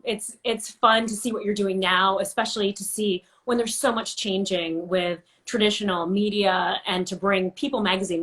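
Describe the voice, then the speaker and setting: A woman's voice: medium pitch, fairly bright tone. A woman hosting a casual, friendly interview, chatty and personable with a teasing streak. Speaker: interview host.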